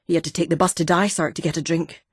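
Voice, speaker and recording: Woman putting on a gravelly wheezing Fife man. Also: sits low, fairly even intonation, quick pace, dry recording, studio quality. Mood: gruff. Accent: Fife Scottish. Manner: blunt, irritated, mocking. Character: gruff wheezy older Fife ex-miner man.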